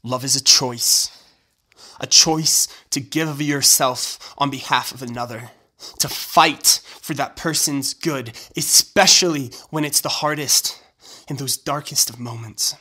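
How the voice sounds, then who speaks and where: A man's voice: mid-range voice, unhurried, sharp tone, slightly rough, full character voice. An actor playing a tender, resolute young man, speaking earnestly and emotionally in an audio drama. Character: self-sacrificing young groom-to-be, tender, resolute male friend.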